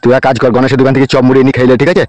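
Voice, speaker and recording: Hot-tempered cartoon village boss played by a man: mid-pitched, very fast, blunt. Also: flat delivery, a little mellow, no echo, natural voice. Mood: bossy. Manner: blunt, interrogating, bossy. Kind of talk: comedy cartoon dialogue.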